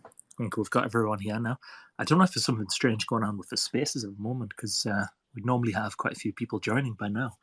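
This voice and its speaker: A man's voice: lowish voice, fast talker, a little hiss or hum. Stuffed-up man hosting a chat. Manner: relaxed, rambling, filler-heavy. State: stuffed up with a cold. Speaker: host. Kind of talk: casual chat.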